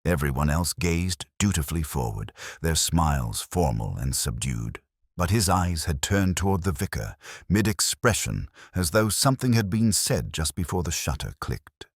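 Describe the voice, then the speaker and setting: A man narrating a scripted first-person detective story in a measured, reflective, literary manner. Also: deep voice, big pitch swings, breathy edge, no room sound, studio quality.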